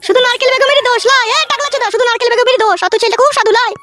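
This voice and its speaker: A man's voice: extremely high pitch, rapid-fire, over music. A man voicing a foul-mouthed, quarrelsome village mother-in-law in a comedy cartoon. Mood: angry scolding. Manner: combative, quarrelsome, swearing.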